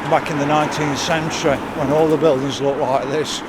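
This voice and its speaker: A man's voice: on the high side, flat delivery, a little fast. A man giving a casual, rambling walking-tour commentary as he films, wondering aloud as he goes. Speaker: vlogger filming a walk. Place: outdoors on city streets. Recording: loud outdoor city traffic noise. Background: traffic and urban ambience.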